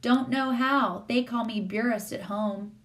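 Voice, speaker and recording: A grubby storybook schoolboy played by a woman with a raised pitch. His rural Southern accent is uneducated and blunt. He is sullen and rude to grown-ups. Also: a little slow, close to an everyday voice.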